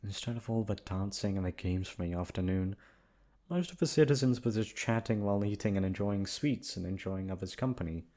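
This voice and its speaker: Low-voiced man narrating a bedtime story. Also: a little fast, dark tone. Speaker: voice actor reading a bedtime story. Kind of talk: soft storybook narration, ASMR sleep aid.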